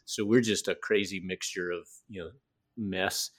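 A man's voice: sits low, fairly even intonation, unhurried, fairly bright tone. A man from North Carolina who hosts a casual interview, musing aloud and asking open questions. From North Carolina, United States. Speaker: podcast host.

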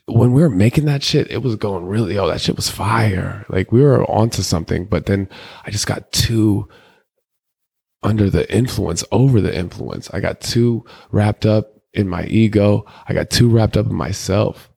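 A young man with a deep, low voice and a gravelly rasp. He talks loosely in slang as a guest in a casual interview, rambling through candid stories. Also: moderate pace, darkish tone.

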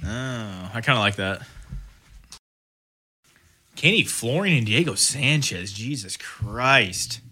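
A man co-hosting a podcast, chatting about fights and pushing back loudly in arguments. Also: medium pitch, expressive, slow, long pauses, slightly rough. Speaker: podcast co-host. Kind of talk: casual chat about fights.

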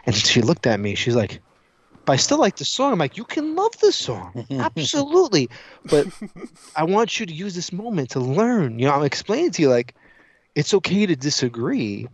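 A man chatting casually on a podcast, telling stories in an animated way, acting out dialogue and swearing freely. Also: on the high side, moderate pace, breathy edge, no echo, dull audio. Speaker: podcast co-host. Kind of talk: casual chat, animated storytelling.

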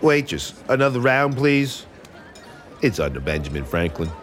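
An actor playing a brash young man from Boston with a Boston accent, telling a wild story excitedly. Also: medium pitch, normal speed, soft background music, a faint crowd. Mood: cheeky.

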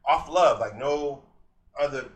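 A man from Boston, a rapper guest on a podcast, talking in a relaxed, slangy way. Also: mid-range voice, measured pace. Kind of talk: casual podcast chat.

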